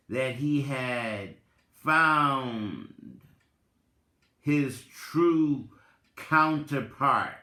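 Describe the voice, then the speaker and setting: A man giving a dramatic reading with spoken commentary, deliberate and halting, in short phrases. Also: mid-range voice, sharp tone. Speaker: reader, content creator. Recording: roomy, echoing voice recording.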